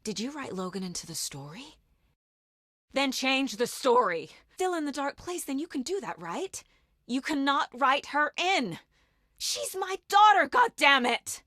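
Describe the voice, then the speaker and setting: A determined female FBI agent from a video game drama. She speaks in an unhurried, measured way with intense focus. The sound is very dry and close, with no room around it. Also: sits high, very animated, pushed and bright, no echo, heavily acted. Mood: hushed, tense, then angry, insistent.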